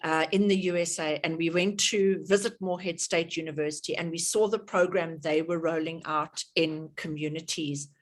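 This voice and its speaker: A woman's voice: sits low, steady tone, moderate pace, few pauses. A middle-aged woman with a South African accent hosting an online workshop over a video call, chatty, with many fillers and restarts. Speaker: workshop host.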